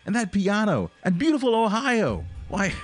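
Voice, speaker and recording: A man acts out a stern spaceship captain in a radio drama. His voice is fairly high and swings widely in pitch as he speaks with urgent command, with music behind him. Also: wildly swooping pitch, moderate pace. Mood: baffled, insistent.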